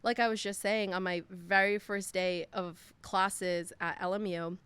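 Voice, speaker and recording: A woman's voice: medium pitch, steady tone, measured pace, a bit bright, clean tone, no echo. Chatty young woman, dry sound. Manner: chatty, many fillers like "um" and "like". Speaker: podcast guest. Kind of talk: casual storytelling.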